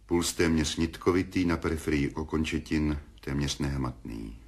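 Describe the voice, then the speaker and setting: An actor playing a blunt, clinical surgeon with a husky voice, matter-of-fact in a radio play. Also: male voice, extremely low pitch.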